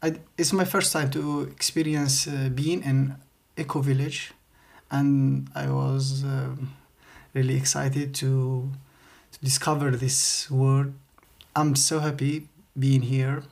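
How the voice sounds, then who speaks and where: A man with an Algerian accent answers as a podcast guest. His pitch barely moves and his delivery is slow. He often pauses to search for the next word. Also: flat delivery, creaky, darkish tone. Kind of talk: informal interview talk.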